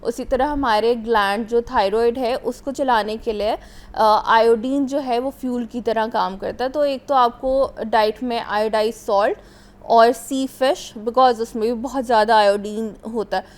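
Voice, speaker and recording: A woman doctor with a Pakistani Urdu accent speaks on a studio health show. She explains step by step and mixes English words into her speech with plenty of fillers, and her voice sits slightly high. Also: steady tone, measured pace, darkish tone.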